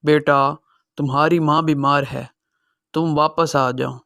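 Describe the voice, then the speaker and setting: Man acting as elderly father, sorrowful, gentle, slightly high voice, dry close sound, cartoon moral story. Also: fairly even intonation, slow, vocal fry, no echo, heavily acted. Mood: worried. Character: sorrowful, self-sacrificing elderly father, gentle with his children.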